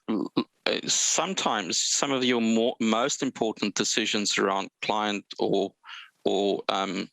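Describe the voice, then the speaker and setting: Gravelly South African man, hesitant. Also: on the low side, sharp tone, no echo, low-quality recording. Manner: measured, many hesitations and restarts. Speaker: panelist. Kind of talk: webinar panel discussion.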